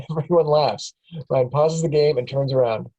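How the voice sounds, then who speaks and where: A man reads the stage directions aloud at a script table reading. His voice sits at a mid pitch, and he keeps a plain, steady delivery. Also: normal speed, highs cut off. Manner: plain, steady, chuckles at times.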